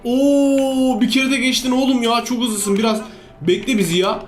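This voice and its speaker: A man giving playful, excitable game commentary, full of exclamations and repeated commands. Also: very high-pitched, normal speed. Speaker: gaming video host. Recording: roomy echo, music underneath. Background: background music.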